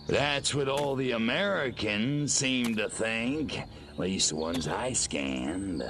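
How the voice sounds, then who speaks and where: An actor playing a smug, wisecracking, sneering alien invader in a video game cutscene. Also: male voice, mid-range voice, animated, takes it slowly, fairly bright tone. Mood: smug, mocking. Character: cocky, sneering male alien invader conquering Earth. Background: music.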